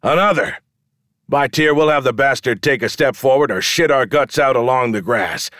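Man playing brash showman goading a crowd, raised pitch. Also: expressive, normal speed, dry recording, clean studio sound, lightly acted. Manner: loud, goading, declamatory calling to a crowd. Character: brash, foul-mouthed male showman hustling wagers from a crowd. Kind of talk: audiobook character dialogue.

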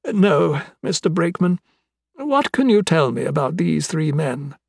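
A man voicing a composed, courteous queen in an audiobook, formal and measured. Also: a little high, very animated, vocal fry, breathy edge, no room sound, studio quality, voice pushed up, exaggerated voice. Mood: composed, declining.

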